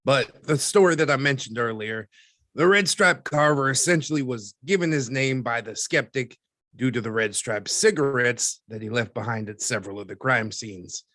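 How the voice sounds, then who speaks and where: A man acting as a skeptical newspaperman in an audio drama interview. His mid-range voice is dry and wry as he explains in a measured way, recorded with no room sound. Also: mid-range voice, fairly bright tone, no room sound, lightly acted. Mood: matter-of-fact. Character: dry, skeptical newspaperman recounting archived cases.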